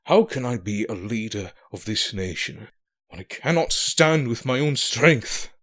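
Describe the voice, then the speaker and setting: A man's voice: medium pitch, animated, measured pace, a bit bright. An actor playing a proud, stubborn, weary aging king and loving father in an audio drama, speaking in formal, courtly phrasing. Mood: angry, frustrated.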